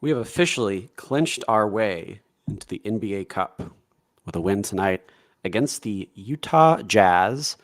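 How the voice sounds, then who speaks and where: Low-voiced man hosting a sports podcast. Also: measured pace, no room sound, clean studio sound. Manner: relaxed, rambling, with fillers. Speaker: podcast host. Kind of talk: casual sports podcast chat. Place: home room by back door.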